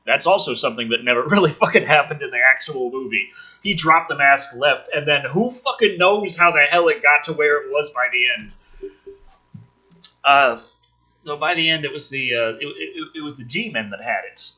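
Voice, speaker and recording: A man's voice: a little high, moderate pace, slightly rough, dull audio. A man reading a film script dramatically as a video host, breaking off for casual, sweary, sardonic commentary. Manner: theatrical, animated delivery.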